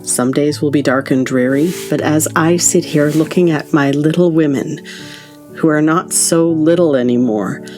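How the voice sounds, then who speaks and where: A woman playing a loving mother who narrates her family's story in a gentle, measured way. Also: low-pitched, natural voice. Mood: tender, grateful. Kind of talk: scripted narration. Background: plucked guitar music.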